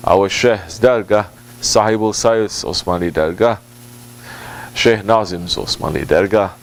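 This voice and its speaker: Man giving a deliberate, halting spiritual talk. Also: on the low side, a little hiss or hum. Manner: deliberate, halting phrasing with repetition for emphasis; Arabic and Turkish religious phrases mixed into English. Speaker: Sufi religious teacher at a dargah. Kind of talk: informal sermon answering a question.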